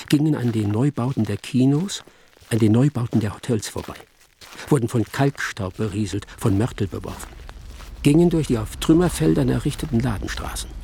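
A man of middling pitch delivers rhythmic literary narration in a radio play. There is faint noise behind him. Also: vocal fry, warm tone.

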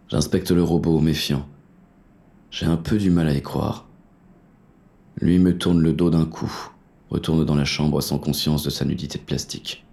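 An actor playing a hard-boiled, wary police inspector who narrates a noir audio drama in a gritty, weary manner. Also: male voice, very deep voice, creaky, dark tone, heavily acted.

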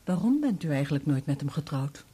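A guarded, courteous married woman in a radio play. Her voice is fairly low, dark and husky. She holds back and picks her words with some hesitation. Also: very animated, fairly quick, full character voice. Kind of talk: Dutch radio play acting.

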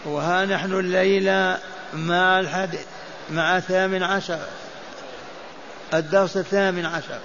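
A man's voice: high voice, steady tone, normal speed, creaky, pauses often, a bit bright. An older man giving a mosque lesson on hadith, chanting and repeating texts so listeners memorize them. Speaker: mosque teacher. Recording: dull lo-fi lecture recording.

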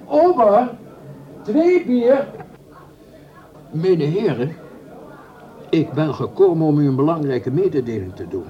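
A man acting in a radio play as a smooth, scheming gentleman doctor who speaks formally and courteously, with sly pauses. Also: on the high side, sing-song, muffled recording.

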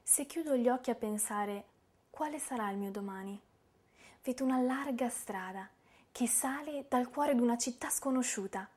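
Dark-toned, sorrowful young woman poet. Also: a little high, slightly rough. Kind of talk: dramatic poetry recitation.

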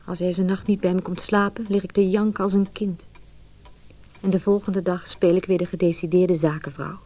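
A woman's voice: fairly low pitch, little pitch movement, moderate pace, dark tone, close to an everyday voice. An actress playing an anxious, lovelorn businesswoman, a married man's mistress, in an emotional Dutch radio play. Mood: brooding, tense. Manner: emotional, inner monologue and tense dialogue.

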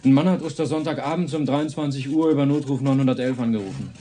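A man's voice: medium pitch, quick pace, mellow, close to an everyday voice. A man acting a matter-of-fact police officer giving reports in a German radio play. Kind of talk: German radio crime drama dialogue.

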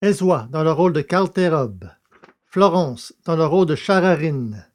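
A man's voice: on the high side, a little mellow. A man reading scripted narration and credits for an audio drama.

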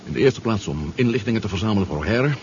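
An actor playing a nervous but determined man on a deep-sea spy mission in a 1970s radio drama, anxious and questioning. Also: expressive, racing, darkish tone, lightly acted. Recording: dull, noisy 1970s radio recording.

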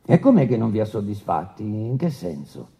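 An actor playing a brusque, paternalistic presiding judge who questions witnesses insistently in a dramatised trial and often repeats himself. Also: male voice, on the low side, big pitch swings, moderate pace, gravelly. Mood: pressing. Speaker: actor in a radio courtroom play. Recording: dull lo-fi radio sound.

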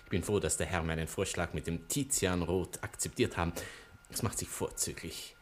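An actor playing an obsequious, chatty barber who flatters his customer with servile, flowery politeness in a radio play. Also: low-pitched, moderate pace, a little hiss or hum, close to an everyday voice. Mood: flattering.

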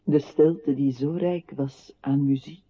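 Tinny, higher-voiced deliberate male chronicler. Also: steady tone, breathy edge. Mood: detached. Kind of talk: literary radio play narration in second person. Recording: tinny band-limited radio drama with music.

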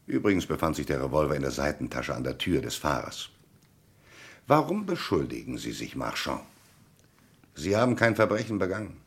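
A man's voice: very deep voice, sing-song, moderate pace, slightly rough, exaggerated voice. An actor playing a shrewd, insistent police commissioner in a radio crime drama, methodical and declamatory as he interrogates. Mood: probing, firm.

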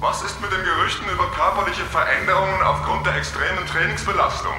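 An actor playing a persistent, probing press reporter in a radio drama. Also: male voice, sits high, fast, natural voice. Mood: probing, insistent. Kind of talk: German radio drama acting. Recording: very echoey hall, music behind.

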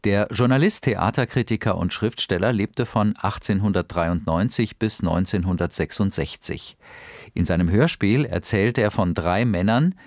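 A man's voice: sits low, no echo, clean studio sound. A man reading a scripted introduction to a radio play as an announcer.

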